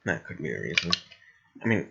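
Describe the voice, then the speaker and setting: A young man co-hosting a podcast in blunt, rambling, sweary casual chat, with a slightly raspy voice. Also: extremely low pitch, big pitch swings, a little fast, bright, forceful tone, lo-fi sound, a little hiss or hum. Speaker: podcast co-host.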